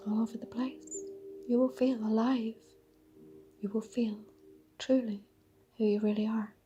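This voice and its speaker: Slow, breathy woman guiding a meditation. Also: on the high side. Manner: breathy, half-whispered delivery; dreamy, soothing, with drawn-out pauses. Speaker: meditation guide. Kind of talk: guided meditation. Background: soft sound bath music.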